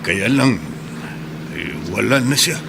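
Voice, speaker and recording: Sad middle-aged widower, earnest, noisy radio drama track, traffic behind. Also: male voice, medium pitch, animated, slow pace, vocal fry.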